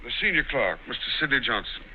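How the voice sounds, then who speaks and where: A man's voice: medium pitch, big pitch swings, quick pace, slight background noise, close to an everyday voice. An actor playing a portly, languid older brother who reasons from his armchair in a 1940s radio drama, dry and self-assured, on a muffled old radio recording.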